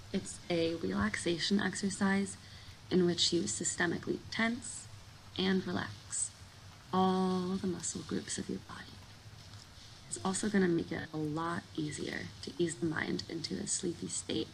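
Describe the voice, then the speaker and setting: A woman with a slightly low, crackly voice guides a sleep meditation at a very unhurried pace. She sounds breathy and soothing, giving gentle, informal instructions. There is some audible noise behind her. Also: fairly even intonation. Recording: clear background noise.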